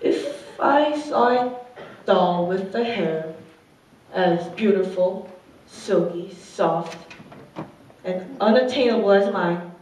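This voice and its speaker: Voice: mid-range voice, expressive, slow, pauses often, darkish tone. A performer playing a vain, overconfident fashion doll woman, boastful and gushing, in a comic stage monologue. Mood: self-pitying, vain. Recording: echoey room, distant mic, faint noise.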